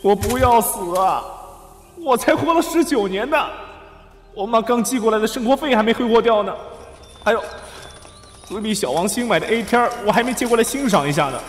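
A man's voice: high voice, lively intonation, a little fast, slight background noise. A man playing a crude, lecherous, easily panicked nineteen-year-old student in a comic audio drama, exclaiming and stammering melodramatically. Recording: roomy sound with music behind.

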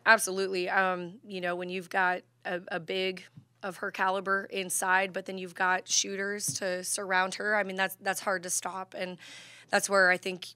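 A woman's voice: mid-range voice, normal speed, clear, resonant tone, no echo. A woman in her thirties, a head basketball coach, answering questions at a postgame press conference into a table microphone, with many fillers and restarts. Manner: steady, even delivery with many fillers and restarts.

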